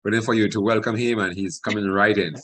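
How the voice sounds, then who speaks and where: A male pastor opens an online program, easing in with pauses and fillers. His voice sits somewhat low and comes over a video call. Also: fairly even intonation, fairly bright tone. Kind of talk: program introduction.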